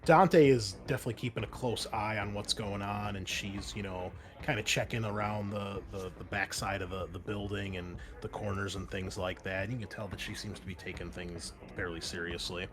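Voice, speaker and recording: A man running a tabletop roleplaying game as game master, narrating off the cuff in a halting, conversational way. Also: fairly low pitch, fairly even intonation, noisy background. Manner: halting, conversational, thinking aloud. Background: background music.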